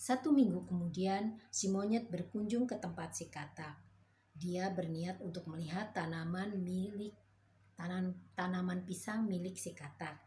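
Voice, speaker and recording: Female storyteller reading a kids' fable, clear and slow, faint hiss behind. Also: on the low side, steady tone, mellow. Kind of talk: reading a children's fairy tale.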